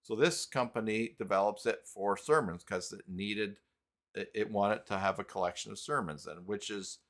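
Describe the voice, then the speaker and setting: A man hosting a live stream tutorial in a casual, halting way, thinking aloud as he goes. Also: fairly low pitch, a bit bright. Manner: halting, thinking aloud while clicking around. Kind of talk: casual live tutorial talk, reading chat.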